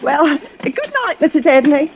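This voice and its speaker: An actress playing a loyal, defensive household helper, prim and insistent, in old-time radio suspense style. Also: female voice, high voice, sing-song, moderate pace. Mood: solicitous. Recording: dull, lo-fi vintage radio recording.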